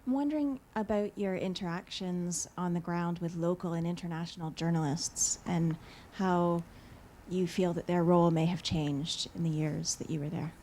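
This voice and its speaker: A woman journalist asks a question from the audience in a lowish, mellow voice. She is calm and polite, with faint ambient noise around her. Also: normal speed.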